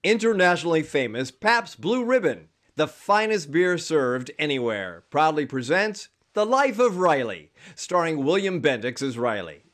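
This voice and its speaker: An actor playing a polished, wry 1950s radio announcer introducing a comedy program. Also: male voice, fairly high pitch, sing-song, moderate pace, hardly any pauses, fairly bright tone. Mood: grand, upbeat. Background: music.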